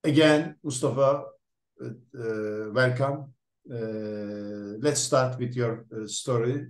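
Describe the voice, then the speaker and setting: A man hosting an interview, introducing his guest slowly with many fillers and restarts, speaking English as a non-native Turkish speaker. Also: medium pitch. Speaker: interview host, lab researcher. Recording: echoey room.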